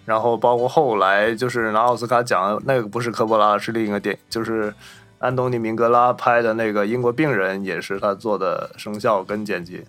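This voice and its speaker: A man's voice: on the low side, fairly even intonation, a little fast, a bit bright, soft background music. A man hosting a casual film discussion, talking in an informed, explanatory way. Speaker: podcast host.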